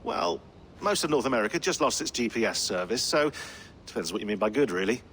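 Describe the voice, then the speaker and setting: An actor playing a dry, pedantic but affectionate teammate in a naturalistic video game scene. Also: male voice, medium pitch, very animated, fairly quick, a bit bright, slight background noise. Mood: dry, resigned.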